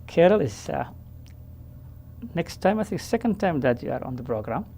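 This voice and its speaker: Female host, very low and gravelly, halting delivery full of fillers. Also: sing-song, mellow, a little hiss or hum. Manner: halting, many fillers and restarts. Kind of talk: casual interview.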